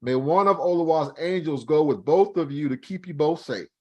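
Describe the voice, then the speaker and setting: A man voicing an elderly devout father who blesses his son and calms his wife. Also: a little high, moderate pace, highs cut off, pitched above the performer's own voice. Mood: reassuring.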